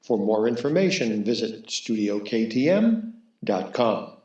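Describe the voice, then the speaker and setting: A man's voice: fairly low pitch, sing-song, measured pace, a little mellow, lo-fi sound. A man announcing for a radio theatre in a scripted, theatrical presenting style. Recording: roomy echo on the voice.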